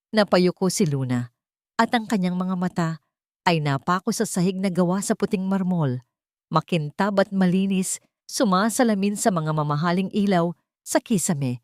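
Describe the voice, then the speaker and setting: A woman's voice: on the low side, darkish tone, no room sound, studio quality. A woman narrates a dramatic scripted story in a solemn, emotive way.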